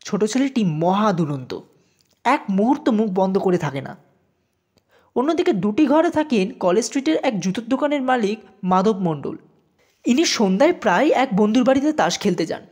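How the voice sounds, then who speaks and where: A woman narrating a scripted audio story in an expressive storytelling manner. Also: medium pitch, moderate pace, slightly rough.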